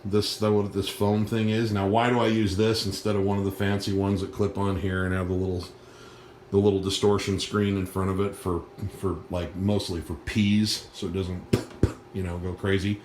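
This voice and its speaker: A man's voice: sits low, little pitch movement, normal speed, darkish tone, echoey room, a little hiss or hum. A man giving a casual, rambling tour of his home studio gear, talking with restarts and fillers, recorded on a handheld phone. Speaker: piano teacher and live streamer. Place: small home studio with piano and computer. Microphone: phone camera held while walking around.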